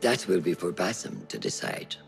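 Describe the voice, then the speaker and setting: An actor playing a grave, patient and commanding mentor of assassins in a video game, speaking in a gravelly, measured, solemn way. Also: male voice, low voice, wildly swooping pitch, faint music behind, exaggerated voice. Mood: firm. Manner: measured, solemn, formal, instructing. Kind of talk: video game cutscene acting.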